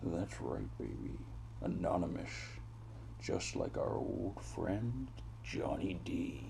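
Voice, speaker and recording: A man's voice: low-pitched, expressive, slow pace, gravelly, heavy background noise, heavily acted. A man playing a world-weary, brooding private detective in a noir audio drama, narrating in florid, rambling, repetitive phrases. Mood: deadpan.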